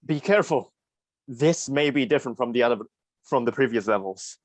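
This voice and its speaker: A woman's voice: extremely low pitch, brisk, no room sound. A woman playing a protective, loyal young man with a guarded, terse manner in a play reading. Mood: steady, watchful. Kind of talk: reader's theatre acting.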